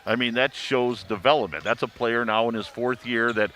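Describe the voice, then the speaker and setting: A man's voice: mid-range voice, moderate pace, pushed and bright. A man who works as a sports radio announcer, talking emphatically in a pre-game panel discussion. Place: sports arena. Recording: clear background noise, venue ambience.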